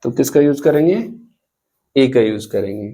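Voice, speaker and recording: A man teaching a grammar lesson, explaining rules slowly and spelling out examples, often asking the students questions. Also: mid-range voice, dark tone. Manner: slow, deliberate, repeats and spells out examples.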